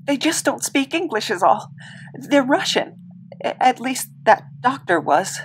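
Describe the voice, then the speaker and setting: A woman's voice: sits high, very animated, unhurried, a little hiss or hum. A woman playing a guarded, self-doubting young soldier with a hesitant, understated way of talking in an audio drama. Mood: uneasy.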